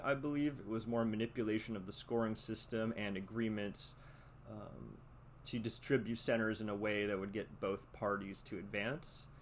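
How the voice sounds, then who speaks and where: A man hosting a podcast monologue, speaking in a halting, deliberate and reflective way. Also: on the low side, fairly bright tone. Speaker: podcast host. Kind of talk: podcast monologue with read-out letter and commentary. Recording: noticeable background noise.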